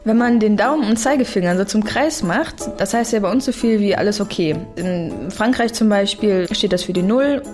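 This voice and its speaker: A woman's voice: mid-range voice, animated, normal speed, hardly any pauses, over music. A woman who has traveled, explaining foreign customs to children in a plain, chatty way.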